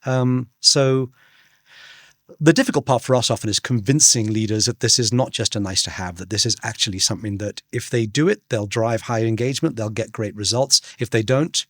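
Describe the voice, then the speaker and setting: A man in his fifties, raised in Belgium, talks as an interview guest and spins long personal stories. His pitch sits in the middle range and jumps up for emphasis, and he often restarts his sentences. The room sounds dry and close. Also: a little fast, fairly bright tone, dry recording. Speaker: guest, culture author. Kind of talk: conversational interview storytelling.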